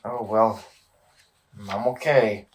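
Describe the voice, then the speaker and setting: A man's voice: on the low side, slow. A man playing a sleep-deprived, tormented and defensive man in an audio drama, with an unsteady, volatile delivery. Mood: uneasy, evasive.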